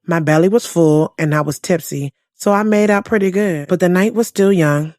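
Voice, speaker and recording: A woman's voice: lowish voice, normal speed, darkish tone, no room sound, studio quality, close to an everyday voice. Woman acting as sassy young single woman, wry and slangy. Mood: amused, sly. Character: sassy single young woman, frank, streetwise, self-amused dater. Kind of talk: first-person audio drama narration and dialogue.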